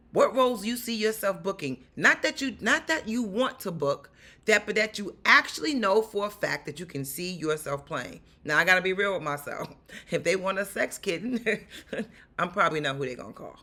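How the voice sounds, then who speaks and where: A woman with a raspy voice and a New York accent, an actor and stunt performer giving upbeat, animated career advice straight to her viewers. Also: lowish voice. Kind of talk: casual advice vlog.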